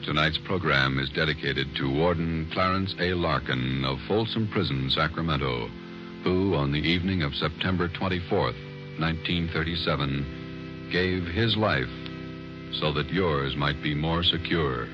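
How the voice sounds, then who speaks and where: A man's voice: rumbling low, unhurried. A man reading solemn closing lines in a grave old-time radio announcer style. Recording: dull old radio broadcast with music.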